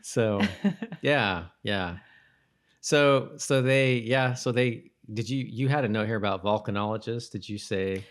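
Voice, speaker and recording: A man's voice: lowish voice, measured pace. A man co-hosting a podcast, talking casually about a TV show. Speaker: podcast co-host. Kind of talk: casual chat about a TV episode.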